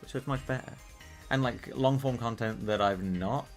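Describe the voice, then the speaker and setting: A British man streaming a game, chatting casually in a rambling, profane way that he calls monotone. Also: medium pitch, normal speed, soft background music. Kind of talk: casual live-stream chat while gaming.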